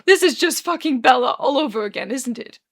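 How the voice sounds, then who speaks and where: A woman's voice: on the high side, wildly swooping pitch, a little fast, gravelly, sharp tone, husky, heavily acted. A woman voicing an anguished, furious young man in a dramatic story, with explosive, wailing outbursts and cursing.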